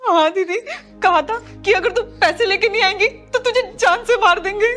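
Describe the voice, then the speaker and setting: A woman's voice: very high-pitched, moderate pace, a bit bright, faint music behind. A woman acting a wronged young married woman, pleading and complaining in a melodramatic short film. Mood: aggrieved, urgent.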